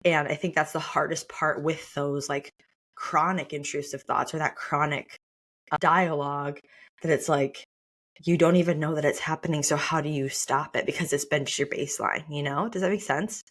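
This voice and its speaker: A woman's voice: low-pitched, steady tone, normal speed, fairly bright tone. A woman co-hosting a podcast, speaking openly and reflectively about herself in a confiding, conversational way. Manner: open, self-reflective, confiding. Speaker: podcast co-host. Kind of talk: candid personal conversation.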